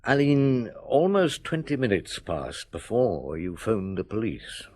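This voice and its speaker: A patient middle-aged police chief from a radio play, with a low voice that rises and falls a lot. He questions a witness calmly, coaxing answers out of her step by step. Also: a little slow. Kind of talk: radio drama acting.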